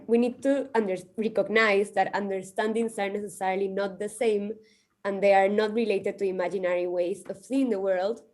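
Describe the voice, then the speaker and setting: A woman's voice: medium pitch, a little mellow. Woman giving an academic talk. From Peru, coast. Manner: thoughtful, hedging with "like" and "we could say". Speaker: conference speaker. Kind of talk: academic presentation.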